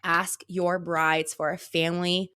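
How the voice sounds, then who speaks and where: A woman co-hosting a podcast, chatting casually and telling stories in a loud, animated way as a wedding photographer. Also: fairly low pitch, unhurried. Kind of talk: casual chat.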